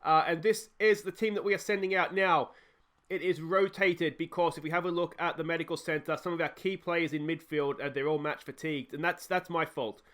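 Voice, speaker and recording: An Australian man hosting a casual football management game let's play, a little croaky from a cold, chatting and reacting excitedly to match highlights. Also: fairly quick. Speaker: gaming channel host.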